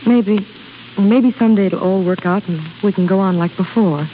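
A woman's voice: mid-range voice, moderate pace, close to an everyday voice. An actress playing an anguished, loyal young woman in love in a 1930s radio drama. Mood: tender sorrow. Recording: noisy narrow-band 1930s radio broadcast.

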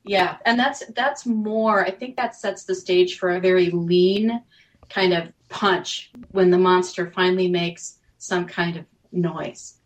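A woman speaking thoughtfully and in a measured way as a writer on a panel. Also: on the low side, steady tone. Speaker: audio fiction writer, panel guest.

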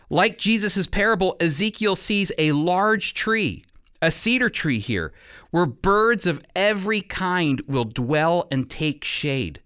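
A man giving an expository Bible teaching as a radio program teacher, speaking emphatically and addressing listeners directly with pauses for emphasis. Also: high voice, fairly bright tone, smooth.

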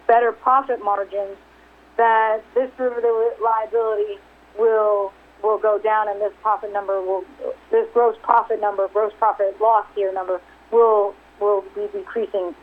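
A woman executive walks through quarterly figures on a conference call, in a somewhat high voice. She stays businesslike but often restarts and repeats words. The sound is narrow and tinny, like a phone line. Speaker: company executive. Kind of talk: earnings conference call presentation. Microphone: telephone.

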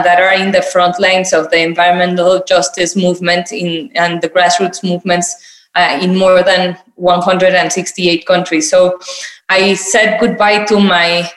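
A woman's voice: on the low side, echoey room. A woman from Mexico telling her own story, thoughtful and hesitant with many fillers. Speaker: guest. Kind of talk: personal introduction in a conversation.

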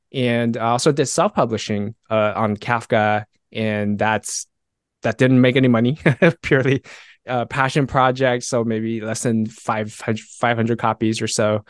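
A man's voice: mid-range voice, clean tone, no room sound, studio quality. A man from Taiwan and Southern California talking casually as a podcast guest, modest and self-effacing. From Taiwan, then Southern California. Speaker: technical author, podcast guest. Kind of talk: casual chat.

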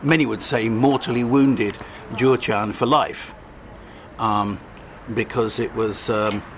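An older man, a longtime journalist, answering interview questions hesitantly, with many pauses and restarts and a wry edge. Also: lowish voice, steady tone, a bit bright, slight background noise. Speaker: journalist guest.

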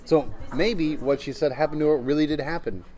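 A man's voice: fairly high pitch, a little fast, dark tone. A man, chatting casually as a podcast co-host. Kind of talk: casual chat about anime and daily life.

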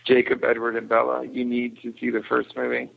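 A man's voice: mid-range voice, completely flat delivery, fairly quick, gravelly, narrow, tinny audio. A man chatting casually as a podcast guest, giving his opinions in a review.

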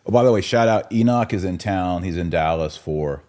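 A man with a notably deep voice hosts a casual podcast from a studio. He talks informally, with slang and a joking streak, as he chats and fields live comments. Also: fairly quick, warm tone. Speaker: podcast host.

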